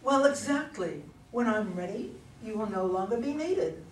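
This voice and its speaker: A woman's voice: medium pitch, expressive, normal speed, close to an everyday voice. Far echoey stage reading, actress as younger woman politician, smug n calculating, sure of herself. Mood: coolly cutting. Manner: self-assured, calculating. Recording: echoey room, distant, noisy.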